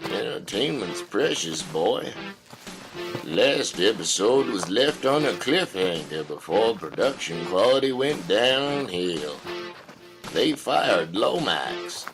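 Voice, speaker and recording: A man plays a weary, folksy father in an audio drama. He drawls slowly and solemnly, with a lot of melodic movement in the voice, over clear music. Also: lowish voice, big pitch swings, heavily acted, low-quality recording. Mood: weary, patient. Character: weary, folksy, cautious father, a poor drifter.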